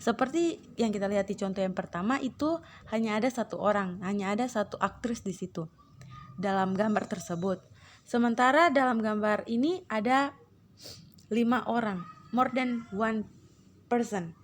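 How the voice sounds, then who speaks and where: A woman teacher with an Indonesian accent, hoarse from a cold, reading English captions slowly and explaining them, clearing her throat often. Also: mid-range voice, slight background noise. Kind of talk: online English lesson, reading then translating.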